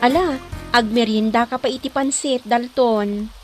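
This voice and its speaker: A woman's voice: fairly high pitch, steady tone, unhurried, soft background music. A woman playing a persistent, proud young noodle vendor in an Ilocano comedy drama, sing-song and plaintive, with an Ilocano accent. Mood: friendly, concerned.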